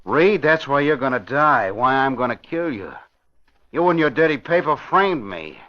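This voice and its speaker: A man's voice: mid-range voice, animated, normal speed, natural voice. A man with an American accent playing a vengeful, gloating gunman in an old radio crime drama, slangy and sneering. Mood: menacing gloating. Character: vengeful gloating male gangster with a gun. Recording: muffled old radio broadcast recording.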